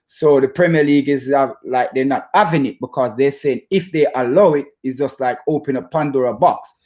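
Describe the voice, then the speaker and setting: A man from Jamaica with a Jamaican accent, hosting a casual football fan chat in a halting, stop-start way and repeating his phrases. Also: mid-range voice, a little mellow. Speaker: football fan channel host. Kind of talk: casual chat to live viewers about football.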